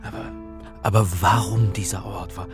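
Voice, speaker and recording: A man's voice: on the low side, fairly even intonation, normal speed, music in the background, lightly acted. A man acting in a radio crime drama as an anxious, bewildered academic, hesitant and questioning. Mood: baffled, probing. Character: bewildered, anxious male academic caught in intrigue.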